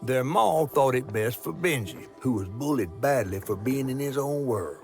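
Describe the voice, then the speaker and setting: A man's voice: mid-range voice, lively intonation, normal speed, music in the background, lightly acted. A man voicing a fussy, genteel talking creature from a video game, with a folksy, theatrical Southern American accent. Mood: solemn storytelling. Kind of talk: video game character dialogue.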